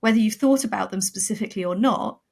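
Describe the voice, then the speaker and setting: A woman's voice: mid-range voice, fast talker, vocal fry. Emphatic woman writer on a podcast. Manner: emphatic, animated, repeats for emphasis. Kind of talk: casual podcast chat.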